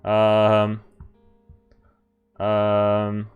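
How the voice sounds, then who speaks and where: A man's voice: lowish voice, completely flat delivery, very slow, smooth, narrow, tinny audio. Male game streamer, clean mid-range voice, informal and chatty, light music underneath. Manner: chatty, breaks off into asides. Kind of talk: casual livestream commentary, reading visual novel text aloud.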